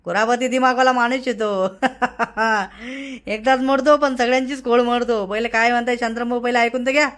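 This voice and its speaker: Cartoon council boss, woman as village man: high voice, commanding, insistent. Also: fairly even intonation, moderate pace, fairly bright tone, close to an everyday voice. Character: bossy male village council leader. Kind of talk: cartoon comedy dialogue.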